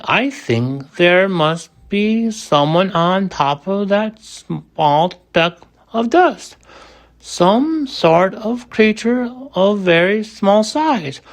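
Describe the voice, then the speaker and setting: A gentle storybook elephant, as a man reads him, polite and protective. His delivery is slow and very animated, with an earnest, sincere manner. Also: high-pitched, vocal fry, pitched above the performer's own voice, exaggerated voice.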